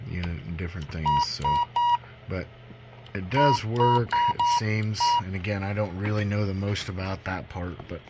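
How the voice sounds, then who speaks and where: A man's voice: on the low side, very noisy. A man giving a casual, unscripted product walkthrough in a folksy, self-deprecating, rambling way. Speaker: radio hobbyist demonstrating a used CB radio.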